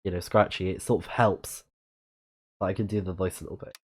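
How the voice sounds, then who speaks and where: A man with a low, slightly scratchy voice talks casually through a live let's play. He speaks as a streamer, commenting on the game and reading bits of it out. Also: fairly even intonation, brisk, breathy edge. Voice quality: a bit scratchy.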